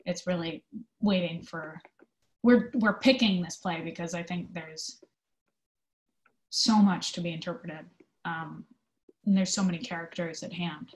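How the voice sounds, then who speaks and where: A woman answering interview questions in an informal, conversational way, explaining her work thoughtfully with pauses. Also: lowish voice, low-quality recording. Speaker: theatre company manager being interviewed.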